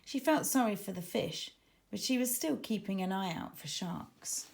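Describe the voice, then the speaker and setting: Expressive woman narrating a children's storybook. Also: mid-range voice, moderate pace, creaky. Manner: dramatic, expressive, aimed at children. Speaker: author reading her own children's book.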